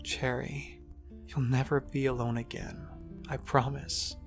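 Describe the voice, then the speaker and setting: A man voicing a caring young stallion who looks after his little sister, speaking gently and soothingly but firmly. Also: mid-range voice, flat delivery, unhurried, faint music behind, close to an everyday voice. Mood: tender. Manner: gentle, soothing, quietly firm. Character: caring young stallion, protective big brother. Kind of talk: fanfic audiobook reading.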